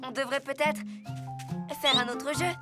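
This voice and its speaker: A performer voicing a gentle, slightly timid little boy rabbit in a preschool cartoon, with a hesitant, childlike delivery. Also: high voice, steady tone, unhurried. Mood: uneasy. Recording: loud music behind the voice. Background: children's music.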